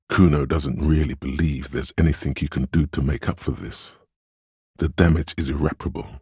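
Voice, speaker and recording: An actor playing a grave, perceptive inner voice of empathy in a game, husky and measured, gently guiding the listener in intimate narration. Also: male voice, dry recording, studio quality, heavily acted. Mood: somber. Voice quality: very deep, husky. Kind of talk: scripted game narration, second person.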